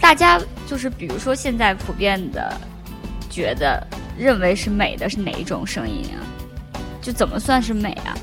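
A woman's voice: mid-range voice, wildly swooping pitch, normal speed, over music. A woman co-hosting a podcast, casually chatting and asking questions. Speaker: podcast co-host.

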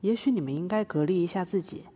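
Woman as husky, defiant artist on trial. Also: lowish voice, fast, mellow. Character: defiant, guilt-ridden activist artist defending herself in court. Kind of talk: scripted podcast courtroom drama monologue. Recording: hall-like long reverb.

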